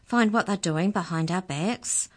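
A woman voicing an angry, outspoken schoolgirl who talks loudly and fast. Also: on the low side. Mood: demanding. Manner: loud, rapid, indignant. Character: angry outspoken schoolgirl, curly-haired and stubborn.

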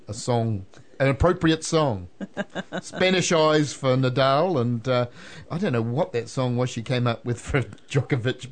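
A New man hosting a radio show, jovial and teasing in relaxed chat. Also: mid-range voice. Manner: jovial, teasing, laughs often.

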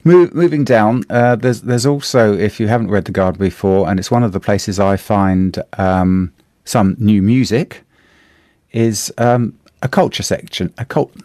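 A middle-aged man with a New Zealand accent, a slightly hoarse radio host chatting through the day's newspaper, halting with many fillers and restarts. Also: lowish voice, darkish tone, no room sound, clean studio sound. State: a bit hoarse. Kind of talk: casual radio chat reviewing the newspaper.

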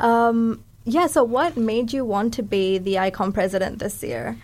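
A woman's voice: fairly high pitch, fairly even intonation, unhurried, darkish tone. A young woman from New Zealand with Sri Lankan roots, hosting a casual interview podcast in an upbeat, informal way. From Sri Lanka, raised in New Zealand from age eight. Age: young adult. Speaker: podcast host.

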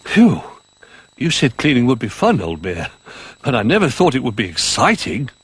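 Guy reading a storybook doing a tiny toy bear, squeaky high, loud and worried. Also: a little slow, breathy edge, heavily acted. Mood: eager, fretful. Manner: high, excitable, anxious voice. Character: small eager male toy teddy bear.

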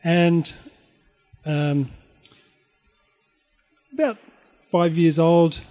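A middle-aged Australian man shares a personal story in a fairly high male voice. He speaks informally and reflectively, halting often as he searches for the next phrase. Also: a little mellow.

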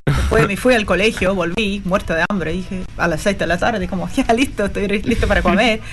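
Woman, radio guest, casual chat, animated storytelling, laughs a lot. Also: mid-range voice, a little fast, soft background music.

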